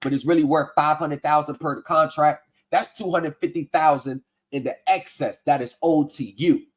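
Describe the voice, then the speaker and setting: A man with a slightly raised speaking pitch talks in a loose, conversational way. He reads lines from a form aloud, then breaks them down with blunt skepticism. He sounds like a livestream host talking straight to his viewers. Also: steady tone, normal speed. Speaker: livestream host debunking scams. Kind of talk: casual explanatory commentary.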